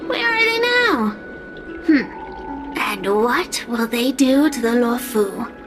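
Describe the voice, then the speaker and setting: A woman's voice: fairly high pitch, close to an everyday voice. A woman voicing an ancient, malevolent flame spirit in a young girl's body, theatrical, defiant and taunting, for a video game. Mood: sly, gloating. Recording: music under the voice.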